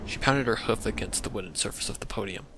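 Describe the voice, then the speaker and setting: A man narrating a scripted dark mystery story as a dramatic reading. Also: lowish voice, fast talker, noisy background. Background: thunder and rain effects.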